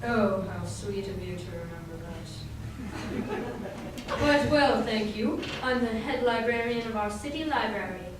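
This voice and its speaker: A woman's voice: mid-range voice, lively intonation, unhurried, natural voice. A woman playing a prim, coolly polite librarian in old-time radio drama style. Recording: very echoey hall, distant mic, clear noise.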